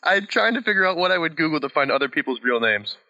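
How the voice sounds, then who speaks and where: A man's voice: on the high side, muffled recording. A man co-hosting a podcast, bantering casually and laughing often. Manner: laughs often, quick and lively. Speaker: podcast co-host.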